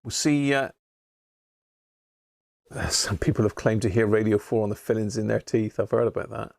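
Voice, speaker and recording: Male streamer, informal and unhurried, with a creaky edge and dry sound. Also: on the low side, darkish tone, dry recording. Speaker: live stream host. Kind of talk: casual live chat, hobby radio demonstration.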